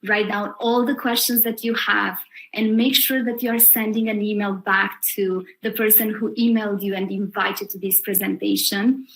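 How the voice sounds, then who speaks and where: Woman, live webinar host, unhurried delivery, middle pitch. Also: a bit bright, rough recording. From Romania. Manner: upbeat, welcoming, slow and deliberate.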